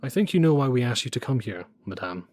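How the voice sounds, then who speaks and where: A man's voice: medium pitch, lively intonation, fast talker, a little mellow, natural voice. Courteous, menacing Nazi official played by a man. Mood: coolly courteous. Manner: polite, insinuating, formal. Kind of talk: audio drama acting.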